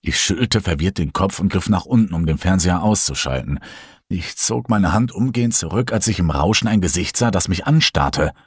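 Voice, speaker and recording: A man's voice: low-pitched, animated, fairly quick, breathy edge, dry recording, studio quality. A man reading a first-person horror story as a scripted audiobook narration.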